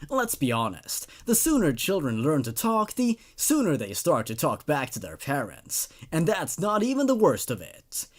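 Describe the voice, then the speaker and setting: A man voicing a slick, menacing company pitchman in an infomercial-style horror story. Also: huge pitch swings, normal speed, few pauses. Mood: salesman enthusiasm. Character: slick, menacing company pitchman selling child-scaring services.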